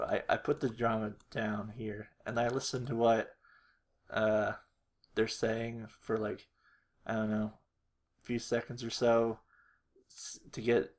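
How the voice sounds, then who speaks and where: Casual, hesitant man thinking aloud. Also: mid-range voice. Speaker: animator, video creator. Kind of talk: casual screen-recorded tutorial narration.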